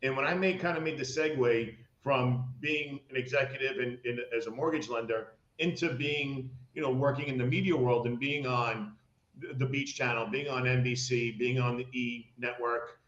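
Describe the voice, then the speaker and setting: A middle-aged man tells stories as a remote guest, joking and lively, often stumbling and repeating words. His audio comes through a roomy space and sounds a bit dull and lo-fi. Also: medium pitch, normal speed. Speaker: media personality, former mortgage lender.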